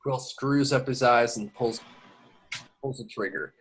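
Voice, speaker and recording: A man's voice: mid-range voice, measured pace, slight background noise, poor audio. A man reading scripted action descriptions as narrator at an amateur table read. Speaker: reader of stage directions.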